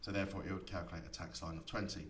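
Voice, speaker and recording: A man giving a software tutorial, explaining each setting step by step in an instructor's manner. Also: sits low, little pitch movement, very fast. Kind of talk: screen-recorded tutorial explanation. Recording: echoey room, distant mic, faint noise.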